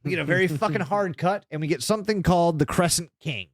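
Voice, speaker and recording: A man's voice: fairly high pitch, quick pace, no room sound. A man co-hosting a podcast, casually retelling a story in a rambling, sweary way. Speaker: podcast co-host.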